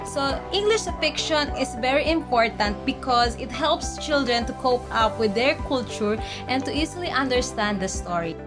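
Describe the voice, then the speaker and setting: Young Filipino woman, high presenting voice. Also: lively intonation, normal speed, few pauses. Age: young adult. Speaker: student presenter. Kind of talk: semi-scripted lesson presentation. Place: plain room indoors. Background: music.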